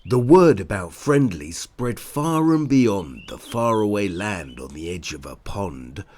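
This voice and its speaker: A man narrating a rhyming children's picture book in a theatrical, deliberate way. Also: fairly low pitch, very animated, darkish tone, a little hiss or hum. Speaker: storybook narrator. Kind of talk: rhyming children's story read-aloud.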